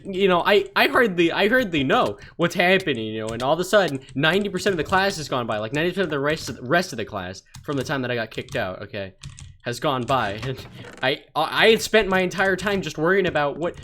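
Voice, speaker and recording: A man's voice: on the high side, fairly bright tone. A man telling funny stories while he plays a game. He rambles, stumbles over his words and laughs easily. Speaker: gaming channel creator. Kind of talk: casual storytelling over gameplay. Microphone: desktop mic.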